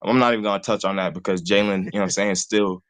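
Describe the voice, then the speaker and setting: Philly guy in his 20s rambling about football, lowish voice, loose sports chat. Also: steady tone, fast talker. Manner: rambling, says "you know what I'm saying" constantly. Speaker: call-in guest host.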